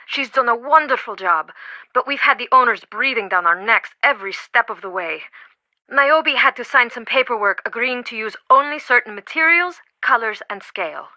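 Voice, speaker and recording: A woman's voice: on the high side, expressive, moderate pace, pushed and bright, no room sound, poor audio. An actress playing an earnest, devoted and easily affronted woman museum curator in a video game, with dramatic, emphatic delivery. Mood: explanatory.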